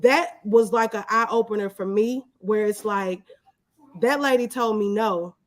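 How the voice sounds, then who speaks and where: A woman's voice: medium pitch, a little slow. A woman speaking as an interview guest, telling personal stories in a casual, conversational way. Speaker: interview guest, small business owner.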